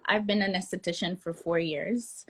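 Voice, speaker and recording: A woman esthetician and mother of two, a guest in a conversational interview over a video call, telling her story in a relaxed way. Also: fairly low pitch, normal speed, breathy edge.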